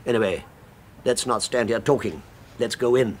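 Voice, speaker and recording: A man's voice: medium pitch, moderate pace, heavy background noise, natural voice. An actor playing an earnest, fussy gourmet businessman in a 1970s radio drama, with a precious, emphatic delivery.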